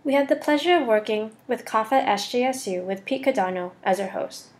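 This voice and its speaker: A woman's voice: fairly high pitch, lively intonation, a little fast, a little mellow. A young woman student reading a scripted voice-over for a slide presentation. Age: young adult.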